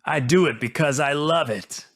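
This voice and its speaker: A man narrating a scripted video essay as its host, in an earnest, dramatic manner with short, measured rhetorical phrases. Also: on the high side, gravelly, a bit bright, no echo, clean studio sound.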